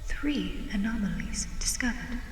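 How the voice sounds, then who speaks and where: A woman voicing a calm, clinical alien spaceship computer in a measured, detached, formal way for a sci-fi ASMR roleplay. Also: medium pitch, vocal fry, roomy sound, in a large hall, far from the mic, faint music behind.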